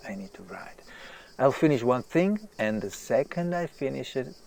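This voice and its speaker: An actor playing a famous male writer, agitated, self-deprecating and obsessed with his work, halting and repeating himself in a naturalistic audio drama. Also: mid-range voice, expressive, vocal fry, darkish tone, slight background noise. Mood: wound up.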